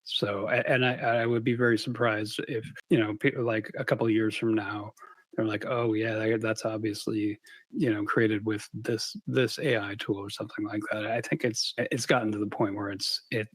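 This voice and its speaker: The husky-voiced creator being interviewed on a podcast, feeling his way haltingly through answers in a dry, close sound. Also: lowish voice, flat delivery, no room sound. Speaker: interview guest, series creator. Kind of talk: casual interview.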